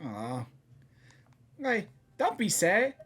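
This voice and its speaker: A woman doing casual live gaming reactions, excitable, stammering and repeating words. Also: medium pitch, extremely slow, breathy edge.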